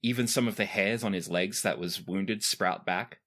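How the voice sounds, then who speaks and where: A man's voice: fairly low pitch, moderate pace, fairly bright tone. A man acting as game master, improvising descriptive narration for a tabletop game with casual asides.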